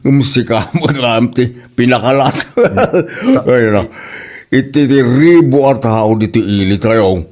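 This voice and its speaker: A man's voice: mid-range voice, takes it slowly, darkish tone, natural voice. A man with an Ilocano accent voicing a mocking older village man in a comedy drama.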